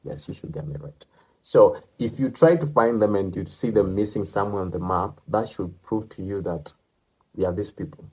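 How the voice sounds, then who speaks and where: A man's voice: on the low side, lively intonation, normal speed, mellow, slightly rough. A man from Kenya with a Luhya-flavoured Kenyan accent, talking casually about history, rambling, repeating himself and checking that listeners follow. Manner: rambling, repeats and restarts, asks listeners "you get that?". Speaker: channel host.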